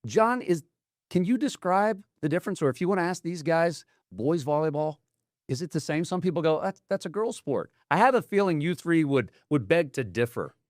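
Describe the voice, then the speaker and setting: A man's voice: a little high, animated, normal speed, no room sound, studio quality. A man from Atlanta hosting a TV interview, asking chatty, enthusiastic questions. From Atlanta, United States. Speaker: news host.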